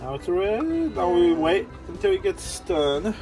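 Dad talking thru cooking steps, bouncy up-and-down voice, music behind. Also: male voice, high voice, sing-song, measured pace. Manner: plain, practical, walks through each step. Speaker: father in a family vlog. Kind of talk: casual explaining while doing tasks.

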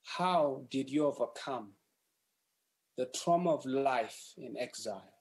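A man's voice: mid-range voice, animated. Earnest young man from South Sudan. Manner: earnest, deliberate, a little formal. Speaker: activist asking a question. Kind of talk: prepared question on video call. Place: home room. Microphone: webcam video call.

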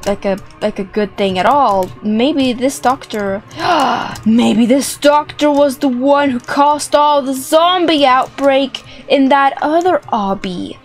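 A young woman giving playful, excitable, dramatic commentary as she plays a video game. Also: sits high, slow, few pauses. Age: young adult. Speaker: gaming video host. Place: indoor room with plush toys. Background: music.

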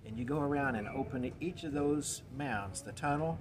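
Man in his sixties, casual explainer, halting delivery, medium pitch, clear background noise in a cluttered indoor space. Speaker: guest explaining a home method. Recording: noisy room with background ambience.

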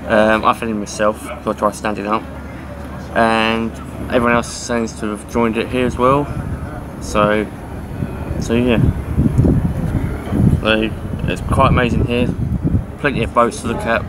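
A man in his twenties talking plainly about a trip, amused, trailing off at the end, outdoors on a quay. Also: fairly low pitch. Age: 20s. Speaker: trip participant interviewed. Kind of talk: casual chat to camera. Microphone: camera mic across a table. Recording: loud outdoor noise.

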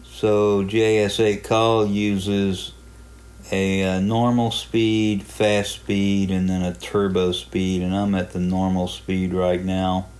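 A man giving a casual, unhurried tutorial narration, explaining a hobby software program step by step in plain terms. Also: low voice, monotone. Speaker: amateur radio hobbyist presenting a software walkthrough.

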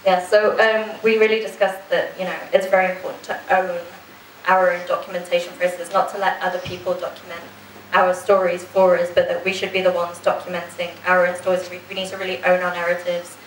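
A woman's voice: on the low side, level tone, a little fast. A young woman giving an informal workshop presentation, hesitant and rephrasing as she goes. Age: young adult. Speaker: advocacy worker presenting. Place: conference room, indoors. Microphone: handheld microphone. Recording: roomy, slightly distant, faint noise.

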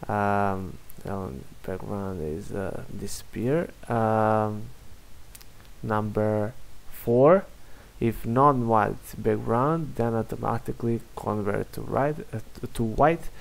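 A young man from Serbia with a Serbian accent, a software developer walking through a screen-recorded demo in halting English full of fillers. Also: lowish voice, creaky, rough recording. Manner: halting, many fillers and restarts.

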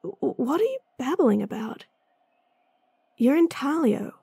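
A woman voicing a gruff, impatient female bounty hunter in a fantasy audio drama, blunt and sardonic, sighing often. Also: high voice, sing-song, unhurried, dark tone, no echo, full character voice. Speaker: voice actress.

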